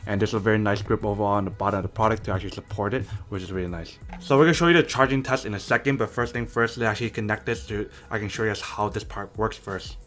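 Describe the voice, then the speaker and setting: A man's voice: on the low side, fast talker, hardly any pauses, fairly bright tone, faint music behind. A man casually unboxing and reviewing a gadget, chatty and addressing viewers directly. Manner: chatty, keeps addressing viewers as "you guys". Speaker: product reviewer.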